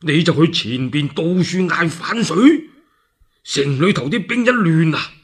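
A male storyteller with a Cantonese accent voicing a scheming horse groom in a low, conspiratorial manner. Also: lively intonation, measured pace, fairly bright tone, husky, heavily acted.

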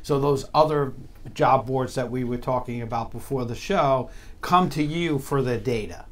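A man's voice: medium pitch, moderate pace. Rambling male talk show host. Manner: rambling, restarts questions, laughs along. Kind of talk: casual interview chat. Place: small TV talk show studio, indoors.

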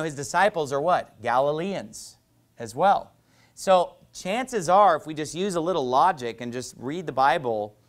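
A man in his thirties with a slightly high voice preaches in a small church. He talks fast and loosely, with asides and lots of gestures, close on a lapel mic. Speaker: pastor. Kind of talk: sermon. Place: small church stage with painted backdrop, indoors.

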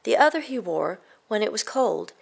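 A woman storyteller reading a children's picture book aloud in a measured, expressive way. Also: mid-range voice, creaky, slightly rough.

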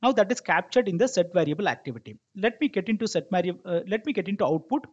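A man narrating a software tutorial step by step as an instructor, explaining and checking that listeners follow, in a lo-fi recording. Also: high voice, big pitch swings, quick pace. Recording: dull lo-fi microphone sound.

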